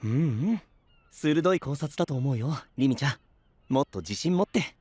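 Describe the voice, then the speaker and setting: An actor playing a gentle, encouraging and thoughtful young man, speaking softly and in a measured way in an anime audio drama. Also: mid-range voice, lively intonation, slightly rough, no room sound.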